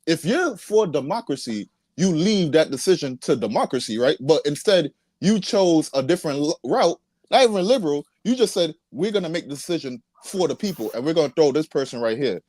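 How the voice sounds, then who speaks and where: A man's voice: a little high, big pitch swings, fairly quick, few pauses, darkish tone, no echo. Man hosting a casual podcast. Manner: interjects, steers talk, hesitant restarts. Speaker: podcast host. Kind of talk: casual political chat.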